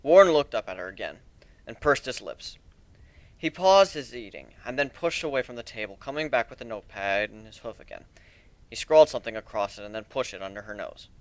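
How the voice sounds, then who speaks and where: A man reading a story aloud as a dramatic narrator, in a measured, deliberate way with expressive emphasis. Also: mid-range voice, dry recording.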